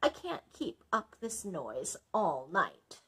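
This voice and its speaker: Woman voicing a grumpy, husky storybook dragon. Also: on the low side, huge pitch swings, slow pace, fairly bright tone, heavily acted. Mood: weary, complaining. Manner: brusque, impatient, complaining. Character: grumpy but obliging male dragon, easily tired.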